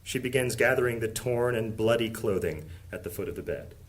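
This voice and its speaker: A man narrating a fantasy audio drama in a solemn, measured storytelling manner. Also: mid-range voice, lively intonation. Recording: background music under the voice.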